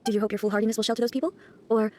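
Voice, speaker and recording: A woman's voice: on the high side, steady tone, mellow, lightly acted. A woman voicing a cold, solemn female deity in a video game cutscene, formal and commanding. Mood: cold, imperious. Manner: formal, commanding, measured phrasing.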